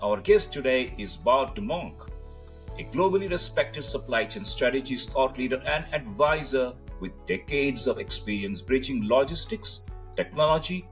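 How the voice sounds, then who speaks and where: A man's voice: medium pitch, lively intonation, normal speed, faint music behind. A man hosting an interview podcast, speaking with emphatic, formal phrasing. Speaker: podcast host.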